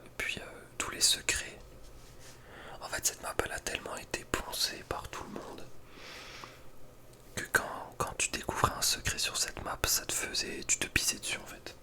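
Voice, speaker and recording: A man's voice: normal speed, bright, forceful tone. Male ASMR creator, whispered throughout, informal and slangy, hesitant, close-miked. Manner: casual, slangy, hesitant asides. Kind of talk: whispered ASMR ranking commentary.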